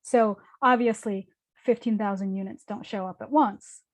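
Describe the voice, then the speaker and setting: A woman's voice: mid-range voice, steady tone, normal speed. A woman in her forties, originally from New York, answers questions after her lecture as a building conservator in a casual, hesitant way, with many fillers and restarts. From New York, the Bronx.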